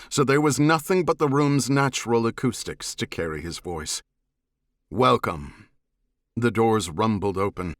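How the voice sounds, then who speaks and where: A man narrating a science fiction audiobook in a measured, serious and dramatic manner. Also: mid-range voice, a bit bright, no room sound, clean studio sound.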